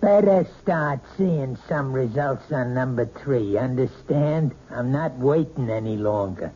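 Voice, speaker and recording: A bullying American husband in old radio drama, husky and rough-voiced. He is blunt, sarcastic and always demanding, with a dark tone on a slightly dull recording. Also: medium pitch, lively intonation, measured pace. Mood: angry, badgering. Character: gruff, bullying, impatient working-class husband. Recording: muffled, husky-sounding old radio broadcast.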